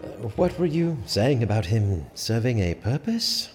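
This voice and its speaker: An actor playing a smug, self-assured sheriff in a medieval audio drama, talking in an urbane, teasing manner. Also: male voice, medium pitch, very animated, a little slow. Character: smug, confident male sheriff, a prince's trusted friend. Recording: reverberant hall with faint music behind.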